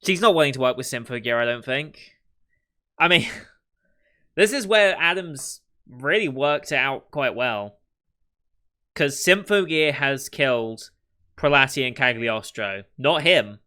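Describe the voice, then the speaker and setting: A man hosting an anime reaction, thinking aloud in casual commentary and musing over what the characters will do next, with the odd soft laugh. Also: mid-range voice, lively intonation, unhurried, smooth. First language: not Japanese.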